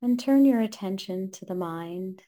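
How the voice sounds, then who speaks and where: A woman leading a guided meditation, giving calm, gentle instructions in short, spaced phrases. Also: mid-range voice, measured pace.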